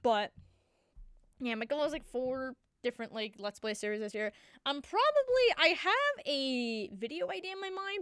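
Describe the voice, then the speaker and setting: A young woman with a congested voice chats about her plans as a gaming channel host. Her pitch sits a little high and her voice is smooth and clear. The recording is close and dry, with no room sound. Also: dry recording. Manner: rambling, many fillers and restarts. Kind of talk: casual chat.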